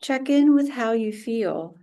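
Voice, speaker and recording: A woman teaching yoga nidra, guiding a meditation in a soothing, unhurried way with short, spaced phrases. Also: fairly high pitch, lively intonation, mellow, muffled recording. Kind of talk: guided relaxation meditation.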